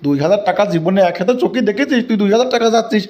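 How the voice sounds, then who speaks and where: A man's voice: high-pitched, lively intonation, rapid-fire, muffled recording. A man voicing a stingy village father in a cartoon, scolding and mocking in a Bogura Bangla accent. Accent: Bogura dialect of Bangla.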